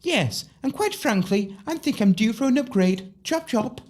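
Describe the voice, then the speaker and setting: A woman's voice: on the high side, expressive, moderate pace, darkish tone. A woman playing a vain, snooty, bossy stepsister in an audio pantomime, imperious and theatrical.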